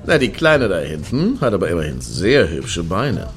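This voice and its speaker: A man acting in a satirical radio play as a brash, opinionated middle-aged club-goer with slangy, sarcastic talk and a gravelly voice. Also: deep voice, huge pitch swings, moderate pace, faint music behind. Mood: grumbling, lewd. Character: brash, randy, opinionated man queuing outside a Berlin club.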